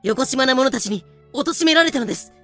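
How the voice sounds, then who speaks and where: A woman playing a cheeky, excitable young character in an audio drama, theatrical and playful. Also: high-pitched, fairly quick, soft background music. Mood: defiant. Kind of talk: Japanese audio drama acting.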